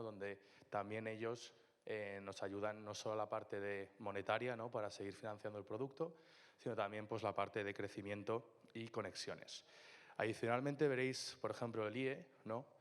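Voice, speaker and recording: A man giving a startup business talk at a conference, explaining steadily and often tagging his sentences with "¿no?". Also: on the low side. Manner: steady, explanatory, frequent "¿no?" tags. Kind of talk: conference presentation. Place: conference hall. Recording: reverberant hall.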